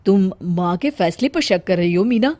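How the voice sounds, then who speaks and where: A woman voicing a stern, dismissive young husband in an animated family story. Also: mid-range voice, normal speed, close to an everyday voice.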